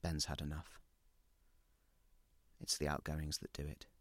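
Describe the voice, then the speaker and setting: A man's voice: extremely low pitch, monotone, a little slow, no echo. A man reading a dramatic first-person story as a guilt-ridden, unravelling, well-off narrator, confessional and intense in short clipped phrases. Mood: numb, defeated.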